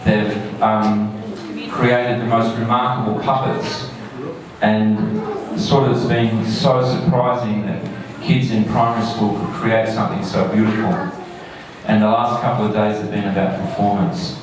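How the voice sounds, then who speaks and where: A man speaks from some distance in a heavily reverberant hall, with an audience audible around him. His voice sits fairly low. He introduces a show informally and haltingly, often pausing partway through a phrase. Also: flat delivery, a crowd in the background. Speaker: school staff member introducing a show. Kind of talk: informal live introduction speech. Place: echoey indoor venue before an audience. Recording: echoey hall, distant mic, audience sounds.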